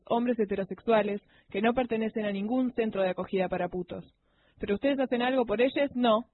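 A woman reading a political speech in a defiant, confrontational, emphatic way. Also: on the high side. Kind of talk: translated political speech read aloud with fierce delivery. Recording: narrow phone-like sound.

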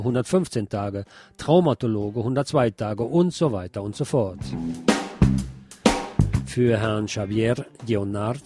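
A man presenting scripted news items in a measured, enumerating way, as a radio presenter. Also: mid-range voice, dark tone, no room sound.

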